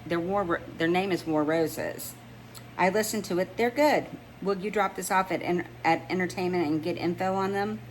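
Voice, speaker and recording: A woman's voice: lowish voice, moderate pace, natural voice, rough recording. A woman voicing a composed, friendly professional heroine in a romance audiobook, casual and polite. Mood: businesslike. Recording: noticeable background noise.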